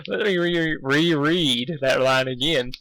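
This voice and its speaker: A man reading fan fiction aloud in a casual, expressive way, stumbling over lines and poking fun at himself. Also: on the high side, takes it slowly, slight background noise. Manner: self-deprecating, swearing, stumbles over lines. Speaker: amateur fan fiction reader. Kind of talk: casual intro and expressive read-aloud narration.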